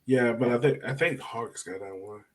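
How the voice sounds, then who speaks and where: A man's voice: mid-range voice, normal speed. A man hosting a podcast, chatting casually about sports. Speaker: podcast host.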